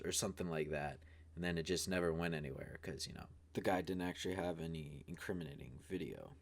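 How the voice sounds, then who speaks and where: A man's voice: low voice, darkish tone. A man hosting a video with casual commentary, talking in a rambling, informal, hedging way. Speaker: video host.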